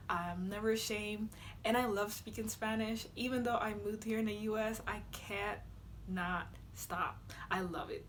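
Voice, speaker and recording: A woman's voice: medium pitch, fairly bright tone, a little hiss or hum. A young woman from Honduras vlogging casually to camera in her room, chatty, with many fillers and frequent laughs. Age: young adult. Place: bedroom with a flag behind her.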